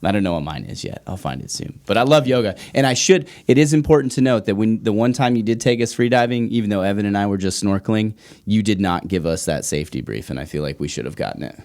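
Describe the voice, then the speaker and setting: A man with a fairly low voice talks as a podcast host. His delivery is casual and conversational, with informal, joking banter. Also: lively intonation, quick pace, hardly any pauses, a little mellow.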